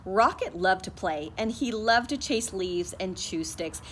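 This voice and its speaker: A woman's voice: mid-range voice, expressive, a little slow, a little hiss or hum. A woman teacher leading a morning meeting for young children, singing the days and reading a story aloud in a sing-song, patient way.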